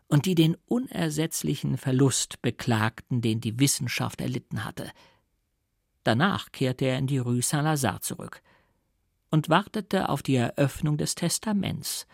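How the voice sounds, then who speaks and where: A man reading literary fiction as an audiobook narrator, in a measured, carefully articulated storytelling manner. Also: medium pitch, gravelly, no room sound, clean studio sound. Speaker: audiobook reader.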